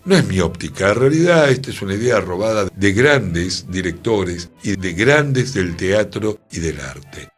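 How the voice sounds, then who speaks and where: Un hombre con acento rioplatense que opina en la radio de manera enfática y reflexiva, con preguntas retóricas. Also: male voice, fairly low pitch, breathy edge. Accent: Argentine Rioplatense Spanish. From Argentina. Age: middle-aged or older. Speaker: radio host. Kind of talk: opinionated radio commentary.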